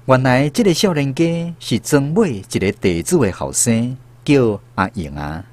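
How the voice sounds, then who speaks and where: A man's voice: medium pitch, big pitch swings, moderate pace, a little mellow. A man with a Taiwanese accent narrating a radio drama as a storyteller. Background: music.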